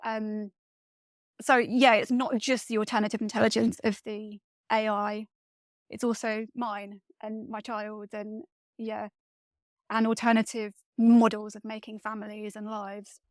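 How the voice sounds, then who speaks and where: A woman speaking as a guest author in a reflective interview, thoughtful and often pausing to search for her words. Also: mid-range voice, little pitch movement, no echo, studio quality.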